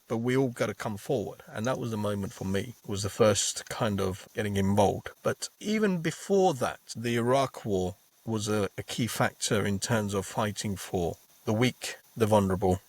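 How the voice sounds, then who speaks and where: A man's voice: fairly low pitch, no room sound. A man, earnestly telling how he became a trade unionist, often repeating words as he starts a thought. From Bangladesh. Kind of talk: reflective interview answers. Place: podcast studio.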